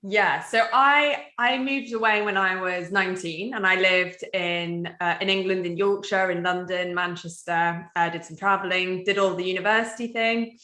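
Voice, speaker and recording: A woman, speaking as a podcast guest from her mountain home. Her voice is bright and clear, and she unfolds her memories in a reflective, storytelling way. The recording carries a noticeable echo from the room. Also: on the low side, normal speed, few pauses. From Wales. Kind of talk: casual conversation. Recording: echoey room.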